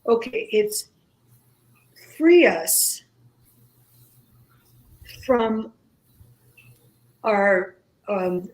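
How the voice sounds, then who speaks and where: A woman whose first language is Greek, speaking as a guest in a casual radio conversation, slow and halting with many pauses and restarts. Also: mid-range voice, lively intonation, darkish tone, rough recording.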